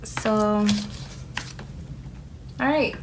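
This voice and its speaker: Woman, native Spanish speaker, slow chatty rambling, lots of fillers, steady noise and paper crinkling behind. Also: mid-range voice. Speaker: planner vlogger. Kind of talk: casual chat while decorating a planner. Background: sticker and paper crinkling.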